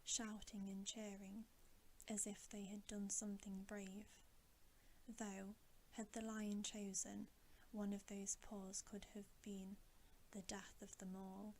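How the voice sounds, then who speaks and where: Slow, whispering woman reading aloud. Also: mid-range voice, little pitch movement, a little hiss or hum, low-quality recording. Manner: hushed, mostly whispering, gentle and deliberate. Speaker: ASMR reader. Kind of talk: whispered bedtime ASMR storybook reading.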